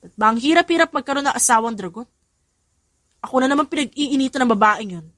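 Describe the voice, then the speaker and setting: A woman's voice: high-pitched, expressive, fast talker, fairly bright tone, dry recording, studio quality, pitched above the performer's own voice. Woman playing a grumbling, put-upon husband. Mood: annoyed. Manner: exasperated, grumbling. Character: grumpy, put-upon man complaining about his fierce wife.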